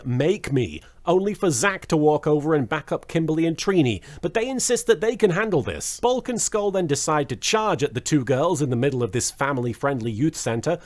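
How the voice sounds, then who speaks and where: A man narrating a comedic scripted episode recap as a video host, full of wry, sarcastic asides. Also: fairly high pitch.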